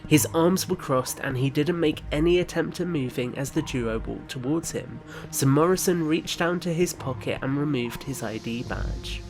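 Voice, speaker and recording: A man's voice: fairly high pitch, normal speed, hardly any pauses, a little mellow, soft background music. A man reading scripted third-person story narration for an audio drama.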